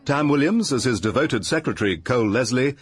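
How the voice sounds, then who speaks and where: A man announcing the scripted credits of a radio play in a husky voice. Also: medium pitch, expressive, brisk, soft background music.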